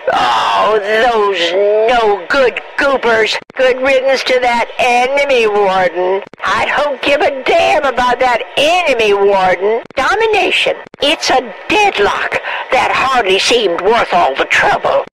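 A woman's voice: a little high, rough recording. An older American woman playing a feisty, foul-mouthed grandma announcer in a video game, with a folksy, exclamatory, cranky scolding manner. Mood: exasperated, then folksy alert.

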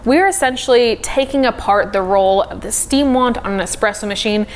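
A woman's voice: on the high side, brisk, a little hiss or hum. A young woman in her twenties hosting a coffee tutorial in her home kitchen, chatty and upbeat, laughing often. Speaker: coffee video host. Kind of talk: instructional kitchen vlog.

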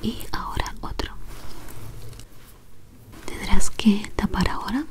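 Young woman, whispered ASMR medical exam role play, very unhurried, soft step-by-step instructions. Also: mid-range voice. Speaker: ASMR performer playing a medical examiner.

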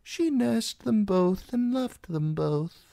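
A narrator voicing a frail, blind, very old male maester who speaks softly and wisely. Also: high-pitched, lively intonation, gravelly, no room sound, studio quality, voice pushed up, heavily acted. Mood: grave. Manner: soft, slow, hushed speech. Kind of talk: audiobook character voice.

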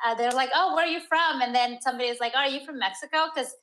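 A woman's voice: high voice, rapid-fire, fairly bright tone. A woman from Peru talking as a podcast guest, reflective and searching, with many "like" fillers. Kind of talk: candid interview conversation.

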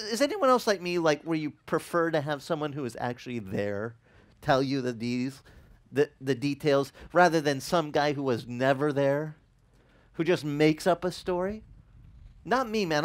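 A man in his forties, a pastor who grew up speaking Italian, teaching a casual sermon outdoors on a beach with a headset mic, asking his listeners questions as he goes. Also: on the high side. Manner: animated, conversational, asks the listeners questions.

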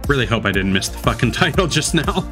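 A man's voice: mid-range voice, big pitch swings, fast talker. A man doing casual live reaction commentary in a blunt, informal, slangy way. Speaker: streamer. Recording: game trailer audio behind. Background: trailer music.

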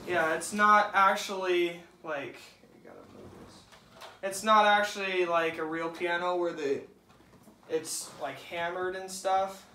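A teenage boy hosting a casual unboxing video in a living room, slowly talking through each step as he assembles a toy. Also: sits high, sharp tone, slight background noise. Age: teens. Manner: slow, halting, describes each step aloud. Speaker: unboxing host. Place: living room, seated at a table. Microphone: camera across the table. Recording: roomy, camera some distance off.

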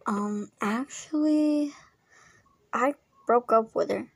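A shy, apologetic young man in an amateur story drama, acted by a woman. The voice is on the high side and slow, with hesitant, stammered phrases. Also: vocal fry, a little hiss or hum. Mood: subdued.

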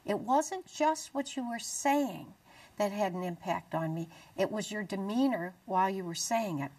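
A middle-aged American woman presenting a religious talk show in an earnest, deliberate way. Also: medium pitch, lively intonation, darkish tone, breathy edge, far from the mic. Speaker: TV show host. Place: small dark TV studio, indoors.

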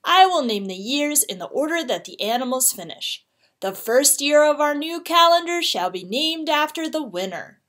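Woman playing a commanding storybook emperor, bright voice. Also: high voice, big pitch swings, moderate pace, voice pushed up. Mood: decisive. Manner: declarative, proclaiming. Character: commanding male emperor, King of Heaven.

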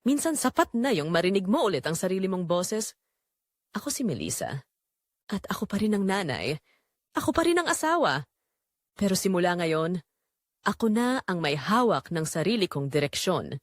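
Audio drama lead: actress as middle-aged Filipina wife and mother, reflective and resolute, lively intonation, dry close sound. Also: lowish voice, dry recording. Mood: earnest, resolute. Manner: reflective, earnest, measured confessional phrasing. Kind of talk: scripted first-person audio drama narration.

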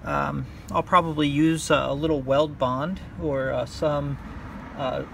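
A man talking through a hands-on repair tutorial in a halting, unscripted way, thinking aloud as he works outdoors. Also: a little high, little pitch movement, fairly bright tone. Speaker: hobbyist demonstrating a repair. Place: outdoors by a car on a windy day. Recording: clear outdoor background noise.

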